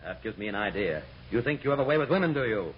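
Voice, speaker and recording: An actor playing a pompous, gloating hypnotist with a theatrical, commanding delivery in a radio comedy. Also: male voice, on the low side, big pitch swings, fast talker. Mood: mocking, commanding. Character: pompous, gloating male stage hypnotist, easily outraged. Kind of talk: mid-century radio comedy acting. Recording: dull, noisy old radio recording.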